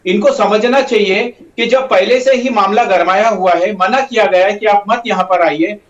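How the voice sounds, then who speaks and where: High-pitched man, roomy and distant. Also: moderate pace. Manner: insistent, repetitive, uses tag phrases. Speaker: political party spokesman, debate panelist. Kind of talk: political debate argument. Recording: roomy, dull, distant microphone.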